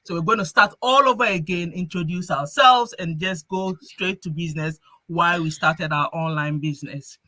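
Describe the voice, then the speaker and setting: A woman's voice: lowish voice, moderate pace, few pauses, sharp tone, no room sound. A woman hosting a live-stream group chat, talking in a chatty way and often restarting her phrases. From Ghana. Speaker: host.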